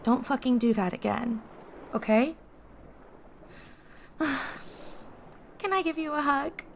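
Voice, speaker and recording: Creaky-voiced, self-deprecating American teenage girl. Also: high-pitched, takes it slowly, a little hiss or hum, heavily acted. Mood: upset, relieved. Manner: casual, hesitant, self-deprecating teen talk. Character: remorseful American teenage girl in a fantasy world. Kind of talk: naturalistic audio drama acting.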